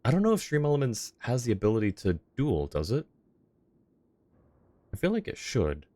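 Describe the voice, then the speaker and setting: A man's voice: mid-range voice, huge pitch swings, moderate pace, mellow, no room sound. A young man livestreaming a game and chatting casually with viewers on a close microphone. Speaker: live game streamer. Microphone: close streaming microphone.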